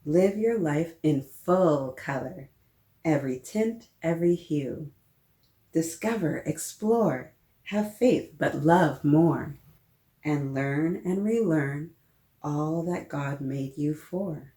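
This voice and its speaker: A woman hosting a children's story time, reading a picture book aloud in a playful, expressive way and talking directly to her young listeners. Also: low voice, takes it slowly. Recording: roomy, echoing sound.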